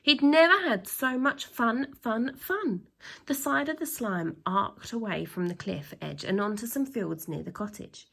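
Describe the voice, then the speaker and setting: A woman reading a children's story aloud like a teacher, with expressive, drawn-out emphasis and acted sound effects. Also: fairly high pitch, creaky.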